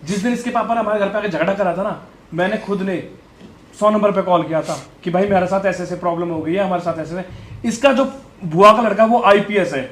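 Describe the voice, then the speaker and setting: High-pitched defensive man, echoey room. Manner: defensive, accusatory, repetitive. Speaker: vlogger. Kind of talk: unscripted video rebuttal.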